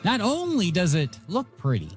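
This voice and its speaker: High-pitched, animated male radio DJ over music. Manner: showy announcer delivery with drawn-out phrase endings. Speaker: radio DJ and host. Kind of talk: music radio DJ patter, announcing songs and reading light news stories. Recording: radio broadcast with music underneath. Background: music bed.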